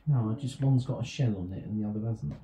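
A man's voice: lowish voice, fast talker, dark tone. A father joking around and teasing his daughter during a bedtime read-aloud at home. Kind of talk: casual banter with his daughter. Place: bedroom, on a bed. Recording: echoey room.

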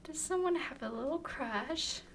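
A woman voices a playful, clingy young woman doting on her friend in an intimate ASMR roleplay. Her voice is high and creaky, often dropping to a soft, breathy whisper. There is faint noise behind her. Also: lively intonation, unhurried, heavily acted. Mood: playful teasing.

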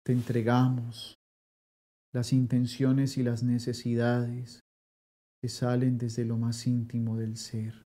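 Solemn priest leading prayers, mellow voice. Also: male voice, mid-range voice, completely flat delivery. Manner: slow, solemn liturgical delivery. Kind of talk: leading Mass and adoration prayers. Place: small chapel with altar.

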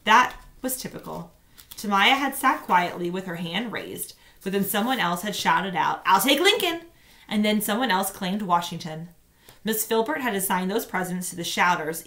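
A woman in her thirties, a teacher, reading a children's story aloud in expressive narration at home. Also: mid-range voice, moderate pace. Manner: expressive, acts out dialogue. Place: small room at home, indoors.

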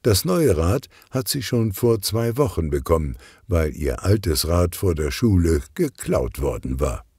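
Very deep, animated male storyteller. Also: breathy edge, dry recording, studio quality. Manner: expressive, deliberate storytelling. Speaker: narrator. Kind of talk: scripted children's audiobook narration.

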